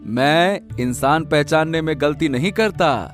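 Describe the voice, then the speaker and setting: A man's voice: on the high side, measured pace, faint music behind, close to an everyday voice. A man playing a humble, honest poor village husband in a Hindi cartoon story, speaking earnestly with hesitant interjections. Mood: pleased, explaining.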